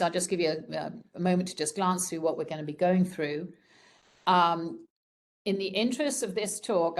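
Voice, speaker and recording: A woman with a croaky voice from a respiratory virus, giving an informal slide presentation to students on a video call in a conversational, frank way. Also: fairly low pitch, a little mellow. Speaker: program director presenting a webinar.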